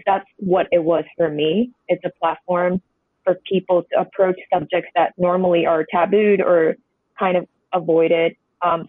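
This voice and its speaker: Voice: mid-range voice, no echo. Painter over a tinny line. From Hong Kong. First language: Cantonese. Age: 20s. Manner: reflective, meandering, many hedging asides. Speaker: painter, interview guest. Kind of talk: conversational podcast interview. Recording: tinny, dry phone-line audio.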